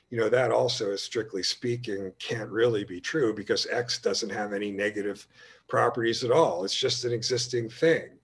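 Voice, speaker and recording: A man's voice: fairly low pitch, husky. A man giving a recorded slide lecture on philosophy, thinking aloud and often restarting his sentences. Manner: thinking aloud with frequent restarts and repeated words. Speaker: humanities lecturer.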